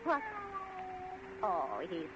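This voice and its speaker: A woman's voice: on the low side, huge pitch swings, extremely slow, exaggerated voice. An actress playing an elderly aunt in an old radio horror drama, fussy and brusque, repeating herself as she brushes off questions. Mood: brusque, impatient. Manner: fussing, repetitive, brushes off questions. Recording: noisy vintage radio recording.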